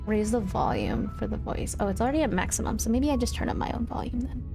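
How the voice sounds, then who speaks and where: A woman livestreamer with a fairly high voice plays through a game. She is casual, playful and slangy, reading options aloud and musing as she goes. Faint game music sits behind her. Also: expressive, fast, gravelly. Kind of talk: casual gaming stream chat, reading choices aloud.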